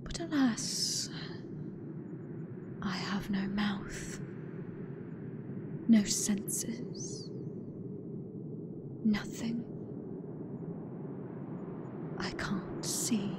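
A British woman voicing a hateful sentient AI god in a breathy, deliberate whisper for an ASMR horror roleplay. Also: mid-range voice. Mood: cold brooding. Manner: slow, deliberate, menacing, theatrical. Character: hateful sentient female AI god gloating over last human.

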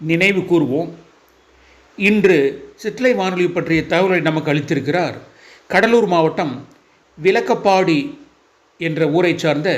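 Guy, slightly high voice, hosting a radio style show, formal n deliberate, lots of little pauses. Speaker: host of a radio hobby programme. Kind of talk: presenting an informational radio-style programme.

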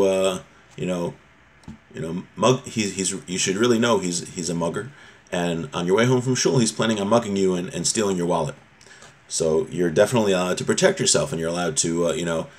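A man in his thirties with an American accent, giving an informal religious lesson in an explanatory, conversational manner. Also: deep voice. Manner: explanatory, conversational, switching between recitation and plain paraphrase. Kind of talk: informal lesson, reading Hebrew text aloud and explaining it. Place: small room at home, indoors.

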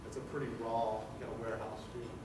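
A man's voice: mid-range voice, flat delivery, fast talker. A man in his thirties giving a conference keynote from a podium, speaking loosely with many ums and uhs. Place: large conference hall, indoors. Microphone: podium mic, heard from a distance. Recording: echoey hall from far off, with hiss.

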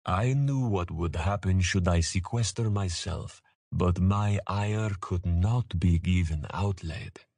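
Guy doing an old dragon for a storybook, gravelly, super slow and formal, deep and close up dry. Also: darkish tone, no room sound, full character voice. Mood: solemn, regretful. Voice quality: gravelly, tight with disuse. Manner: slow, formal, weighty delivery.

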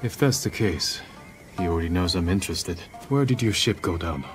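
A disciplined samurai from a video game cutscene. His voice is fairly low, and he talks in a measured, serious, commanding way, with music playing behind him. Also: animated. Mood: calm, probing. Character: stoic, disciplined adult male samurai warrior.

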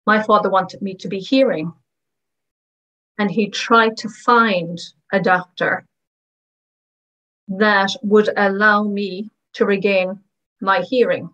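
A woman speaking as a voice-over interpreter, giving first-person testimonies of signers in short, measured phrases. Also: medium pitch, a bit bright, dull audio. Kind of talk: voicing first-person testimonies in plain narration.

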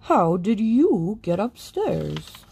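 A woman playing a brisk night watchman. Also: mid-range voice, sing-song, vocal fry, noisy background, exaggerated voice.

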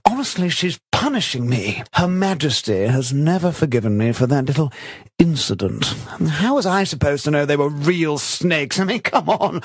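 Casting: prim, put-upon male courtier for animation , man performing, grumbling in a husky, animated voice. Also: a little high, moderate pace, no room sound, clean studio sound. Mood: exasperated, aggrieved. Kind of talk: cartoon character acting.

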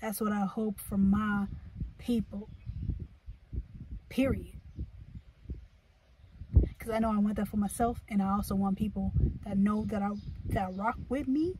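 Slow-talking American woman, distant noisy recording. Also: medium pitch, fairly even intonation. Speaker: vlogger. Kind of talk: casual, reflective chit chat. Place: inside a parked car. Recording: distant mic, clear background noise.

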